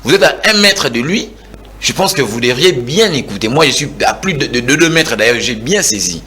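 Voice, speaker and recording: A man's voice: mid-range voice, animated, normal speed, pushed and bright, slight background noise. A man in his forties with a Congolese French accent, arguing heatedly as a guest in a televised political debate, repeating words as he presses his point. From Kinshasa, DR Congo.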